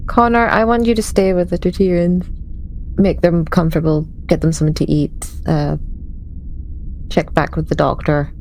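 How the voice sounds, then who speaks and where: A woman's voice: lowish voice, steady tone, normal speed, darkish tone, slight background noise, low-quality recording. A woman improvising in-character lines in a tabletop game, speaking firmly and matter-of-factly.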